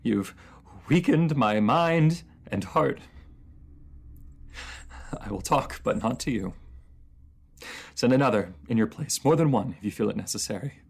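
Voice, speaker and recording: A man's voice: mid-range voice, expressive, a little slow, vocal fry, pauses often, husky, full character voice. A man playing an anguished, proud enemy ruler in a fantasy audio roleplay, speaking in formal, theatrical phrases that halt with emotion. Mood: resigned, bitter. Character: captive enemy ruler, anguished, proud, lovesick, under truth potion.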